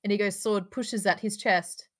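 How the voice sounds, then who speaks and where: A woman narrates a screenplay at a table read. Her pitch is middling, and she reads stage directions aloud with occasional casual asides. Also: fairly even intonation, moderate pace. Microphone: home microphone on a group video call.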